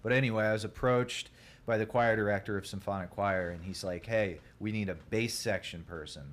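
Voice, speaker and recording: A young man from Ohio with a deep voice, a podcast guest telling his story in a rambling, laid-back way full of fillers. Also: steady tone, fairly quick. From Columbus, Ohio. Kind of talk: casual podcast chat.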